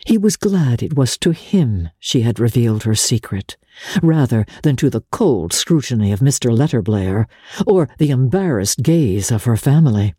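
A woman with a husky voice giving a measured, dramatic reading of literary fiction in deliberate, expressive phrases. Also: extremely low pitch, dry recording, clean studio sound. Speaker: reader of classic fiction. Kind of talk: dramatic literary audiobook narration.

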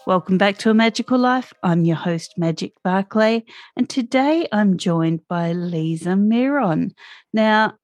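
A woman's voice: medium pitch, unhurried, no echo. Upbeat woman hosting a podcast. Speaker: podcast host. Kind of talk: scripted podcast intro and interview. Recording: dry with faint music behind.

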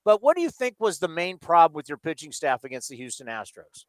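Chatty guy broadcaster in a press box, high smooth voice. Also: big pitch swings, brisk, no echo. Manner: chatty, enthusiastic. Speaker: radio broadcaster. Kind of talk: broadcast interview.

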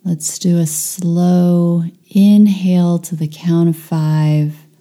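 A woman guides a meditation in a deeply soft, dark and relaxed voice. She speaks extremely slowly, leaving long pauses between short instructions. Her delivery is calm and soothing, with very little movement in pitch.

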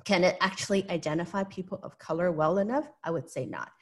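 A woman's voice: fairly low pitch, quick pace. Hong Kong-accented woman, earnest and explanatory. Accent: Hong Kong English. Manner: earnest, explanatory, often adds a tag "right?". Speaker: investor, interview guest. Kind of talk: conversational interview answers.

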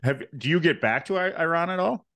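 A man hosting a casual podcast, asking loose, halting questions and reacting to his guest. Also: a little high, expressive, a bit bright, no echo. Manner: easygoing, hesitant questions with restarts. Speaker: podcast host.

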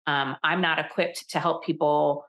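A woman with a voice on the low side speaks candidly as a podcast guest. She is middle-aged, animated and laughs easily. She often restarts her sentences with repeated words. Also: moderate pace. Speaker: podcast guest, leadership coach. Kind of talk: conversational interview.

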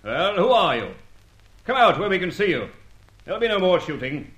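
A suspicious town councillor in an old radio drama. The voice is sharp and forceful, a little high for a man, giving curt, threatening commands. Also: very animated, normal speed, poor audio. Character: suspicious, threatening male town councillor guarding his house. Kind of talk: old radio serial acting.

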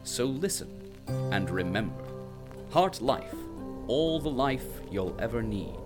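A man's voice: mid-range voice, sing-song, slow, over loud music. A man narrating an audio drama in a grave, scripted storytelling manner.

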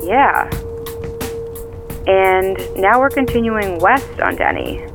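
Female amateur tail, lively intonation, chatty, hesitant, slightly flustered, audio drama dialogue, music and traffic behind. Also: mid-range voice, slow, creaky, exaggerated voice. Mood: focused, tense. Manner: conversational, hesitant play-by-play reporting. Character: woman tailing a suspect by car, chatty, slightly flustered.

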